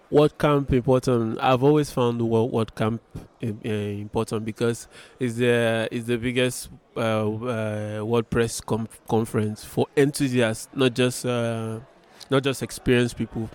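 A young man from Nigeria, a tech support engineer answering interview questions with many pauses and restarts. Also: mid-range voice, darkish tone, clean tone. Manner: hesitant, many fillers and restarts. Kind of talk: event interview.

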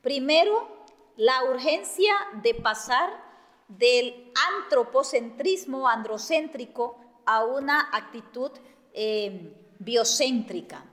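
A woman from Guatemala, giving a measured lecture with many pauses and fillers. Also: fairly high pitch, lively intonation, a bit bright. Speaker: speaker. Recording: echoey hall.

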